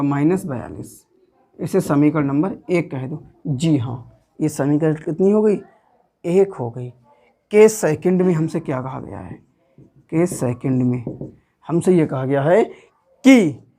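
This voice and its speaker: A man's voice: sits high, a little mellow. Man, Hindi accent, maths teacher, step-by-step classroom lesson, asks and answers questions, patient, repetitive. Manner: patient, repeats each step.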